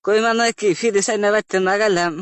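Male actor as stubborn old village woman, high voice, plaintive nagging, rural eastern Bengali accent, comedy skit, dry recording. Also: fairly even intonation, fast, fairly bright tone, smooth, dry recording. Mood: complaining. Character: poor unschooled old village woman, stubborn complaining customer. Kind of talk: comic phone-call skit.

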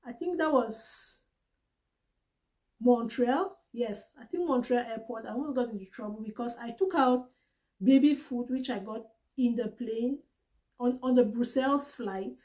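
A woman's voice: on the high side, unhurried, mellow, low-quality recording. A young woman from Cameroon chatting earnestly about her own trip and giving practical advice in a casual vlog. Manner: chatty and earnest, retelling her own experience with small restarts. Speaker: vlogger sharing travel tips.

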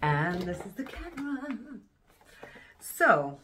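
A woman chatting casually to her camera in a mall, excitable and laughing at herself. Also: medium pitch, slow pace. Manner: chatty, excitable, self-mocking. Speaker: vlogger. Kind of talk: casual shopping vlog. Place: shopping mall. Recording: noisy mall background.

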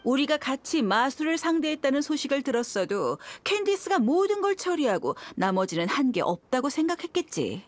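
A woman's voice: sits high, very animated, fairly quick, few pauses. An actress playing a confident, sardonic young female mercenary in a video game, cool and taunting. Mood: smug taunting. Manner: cool, taunting, self-assured. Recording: music behind the voice.

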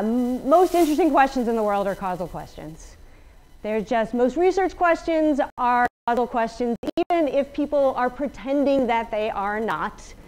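Energetic woman lecturing to a room. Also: fairly high pitch, rough recording. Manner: energetic, lots of fillers, asks the audience questions. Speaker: guest speaker, statistician. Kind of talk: conference talk. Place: large open office event space. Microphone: no working mic, room pickup from a far camera.

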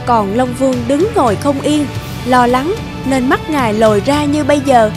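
A woman's voice: sits high, normal speed, natural voice. A woman narrating a folk tale in a scripted storybook style. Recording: music behind the voice.

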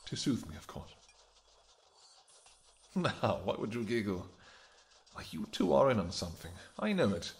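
A man voicing a flirtatious royal vampire king who teases affectionately, with a gravelly voice. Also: very animated, moderate pace, pauses often. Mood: flirty teasing. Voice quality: gravelly, low. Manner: teasing, affectionate, soft murmurs. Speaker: audio roleplay performer. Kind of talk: audio roleplay acting.

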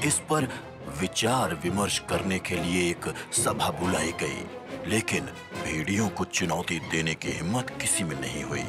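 A kindly old bear from a children's cartoon tells a tale at an unhurried pace. His voice sits deep and low and rises and falls with lively swings. Gentle music plays underneath. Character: old wise male bear storyteller, slow and kindly. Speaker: storyteller. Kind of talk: dubbed cartoon acting.

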